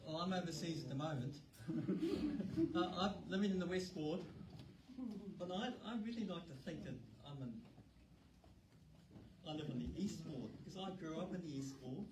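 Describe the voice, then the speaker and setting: A man answering at a candidates' forum, relaxed and a little halting. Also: a little high. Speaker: council candidate. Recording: echoey hall, distant mic, hiss.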